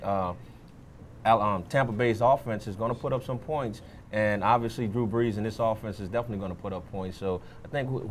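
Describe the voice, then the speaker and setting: A man giving conversational football analysis as a panelist, halting and repeating words as he goes. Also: lowish voice, a little hiss or hum. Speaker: sports show panelist.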